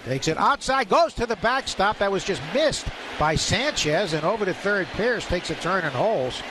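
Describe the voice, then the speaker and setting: High-pitched man calling baseball over loud noise. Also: moderate pace. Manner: clipped phrases, rising to excited calls on big plays. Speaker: play-by-play baseball announcer. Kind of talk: live radio sports commentary. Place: ballpark broadcast booth. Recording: live stadium broadcast, loud background noise.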